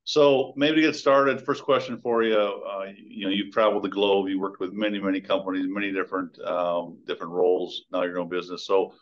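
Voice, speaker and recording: A middle-aged man hosting an interview over a video call, polite and affirming, with halting restarts. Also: low voice, little pitch movement. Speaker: interview host. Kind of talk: interview questions. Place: room with brick wall. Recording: dull lo-fi video call audio.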